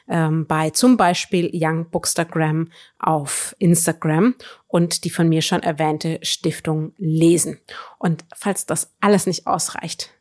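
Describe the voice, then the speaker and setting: A woman's voice: fairly low pitch, a little mellow. A woman presenting a book podcast as co-host in a conversational style.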